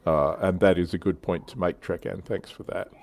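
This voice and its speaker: A man's voice: low-pitched, fairly even intonation, normal speed, vocal fry, darkish tone. A man hosting a casual hobby discussion on a livestream, chatting informally with other panellists.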